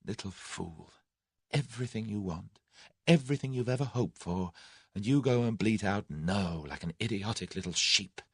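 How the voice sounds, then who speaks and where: A man's voice: mid-range voice, big pitch swings, moderate pace, fairly bright tone, no room sound, studio quality, voice pushed up. A man voicing a plucky, proud young English woman in an audiobook, earnest and exclamatory. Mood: self-scolding. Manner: earnest, exclamatory, self-scolding. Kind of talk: audiobook character voice.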